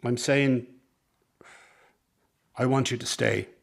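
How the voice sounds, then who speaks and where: An actor plays a sly circus man in a radio play, a smooth talker with a medium-pitched voice. He coaxes and persuades, ingratiating himself as he schemes. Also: steady tone, slow pace. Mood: persuasive, scheming. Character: smooth-talking, scheming circus man, mother's old flame. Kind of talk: dramatic radio play dialogue.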